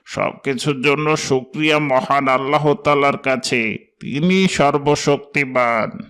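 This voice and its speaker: A man's voice: mid-range voice, takes it slowly, fairly bright tone, clean tone. A man playing a proud school headmaster giving a formal, earnest speech to pupils in a cartoon story. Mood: proud, solemn.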